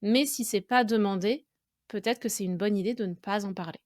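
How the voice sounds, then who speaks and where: A woman's voice: medium pitch, brisk, darkish tone, no echo, studio quality. A French woman hosting an educational podcast, talking to the listener directly in a reflective, conversational way. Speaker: podcast host and French teacher.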